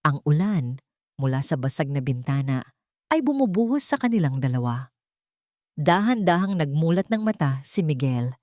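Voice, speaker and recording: Low-pitched woman narrating, close and dry. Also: a little mellow, no echo. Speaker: storyteller. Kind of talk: dramatic scripted narration of a love story.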